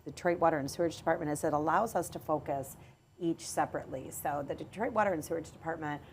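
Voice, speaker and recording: A middle-aged woman, an executive telling her career story in a podcast interview, measured and often pausing to restart. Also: sits low, slight background noise. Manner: measured, with frequent hesitations and restarts. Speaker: water authority chief executive.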